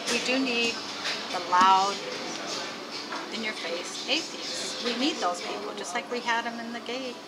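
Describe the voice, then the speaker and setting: A woman in casual conversation, animated and laughing often. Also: mid-range voice, rough recording.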